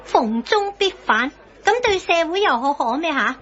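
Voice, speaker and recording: Shrill, sharp-tongued scolding Hong Kong woman. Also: big pitch swings, normal speed, lo-fi sound. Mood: lecturing. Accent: Hong Kong Cantonese. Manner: scolding, accusatory. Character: sharp-tongued, reproachful pro-establishment woman. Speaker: radio drama actor. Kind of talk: Hong Kong satirical radio drama dialogue.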